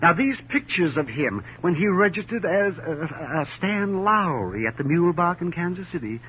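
Indignant fussy hotel boss, highish voice, old muffled radio drama. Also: male voice, very animated. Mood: exasperated. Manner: pompous, indignant, halting fussily. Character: fussy, exasperated male hotel manager, indignant and pompous. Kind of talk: 1950s radio drama acting. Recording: muffled vintage radio recording, faint hum.

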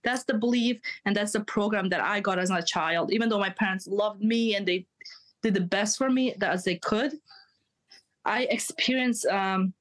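A woman's voice: mid-range voice, normal speed. A woman in her thirties, a guest on a podcast, telling personal stories in an earnest, confiding way and laughing at herself, with slips in her English grammar. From Europe. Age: 30s. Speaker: guest, mindset coach. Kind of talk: candid storytelling in a podcast interview.

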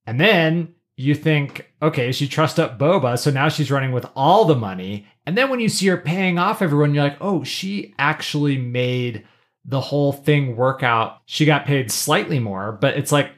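A middle-aged podcast co-host chatting casually, with an informal, halting delivery and slangy asides. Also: medium pitch, lively intonation. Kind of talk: casual chat.

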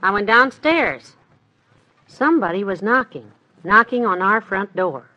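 A woman playing a sensible, patient, motherly wife in 1950s radio comedy, speaking in a matter-of-fact, gently firm way. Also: mid-range voice, expressive, unhurried, muffled recording. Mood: puzzled, insistent.

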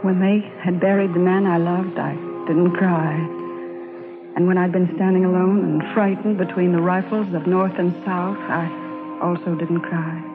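An actress playing a brave young woman spy in an old-time radio drama, speaking in a solemn, dramatic, halting way. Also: on the low side, little pitch movement, narrow, tinny audio, natural voice. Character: brave young woman spy narrating her wartime ordeal. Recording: thin vintage radio recording, music behind.

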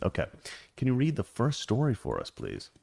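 A man's voice: medium pitch, wildly swooping pitch, normal speed, vocal fry, mellow, husky, dry recording, clean studio sound. A man hosting a casual commentary show, rambling and opinionated with a joking edge. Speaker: show host.

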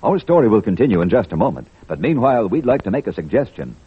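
A man's voice: lowish voice, very animated, fast talker, husky. A man announcing a 1940s radio programme from a script, with a formal, polished delivery. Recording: dull vintage radio broadcast recording.

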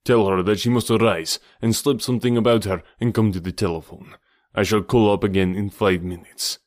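A man voicing a suave, scheming villain who gloats in a deliberate, theatrical way in an audiobook. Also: lowish voice, fairly even intonation, dry recording, studio quality, close to an everyday voice. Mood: commanding. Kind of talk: audiobook character dialogue.

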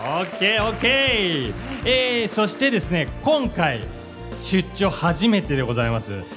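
A man's voice: sits high, very animated, normal speed. An actor playing a good-natured, easily flustered male office worker hosting a live radio drama event. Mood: upbeat. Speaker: host and leader of the team. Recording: live event with music behind.

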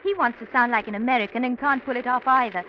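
An actress as a polite, chatty young British woman with a high voice. She speaks in the manner of old radio drama. The sound is dull, with a layer of noise. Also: quick pace, natural voice. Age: young adult. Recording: muffled, noisy old radio recording.

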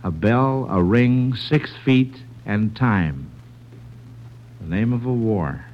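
A man's voice: on the low side, lively intonation, slow pace, muffled recording, a little hiss or hum. An American man hosting a 1940s radio quiz for a panel of children, with a folksy, encouraging manner. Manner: encouraging, folksy, repeats and affirms answers.

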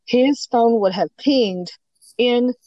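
A woman's voice: on the high side, takes it slowly, no echo, lo-fi sound. A woman co-hosting a true crime podcast, talking casually and rambling a bit, her voice slightly scratchy from allergies. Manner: rambling, many ums and you-knows. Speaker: podcast co-host.

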